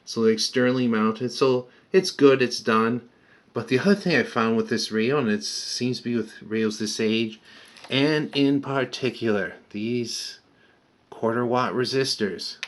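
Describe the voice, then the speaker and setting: An older man casually walking through a repair in a plain-spoken, explanatory way as a hobbyist restorer. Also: medium pitch. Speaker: vintage radio restorer and presenter. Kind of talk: casual hands-on repair walkthrough.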